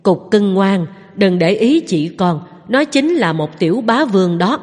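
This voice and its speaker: A woman voicing a doting old grandmother who coaxes gently, breathy with long reverb. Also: medium pitch, animated, a little slow. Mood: coaxing. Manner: gentle, coaxing.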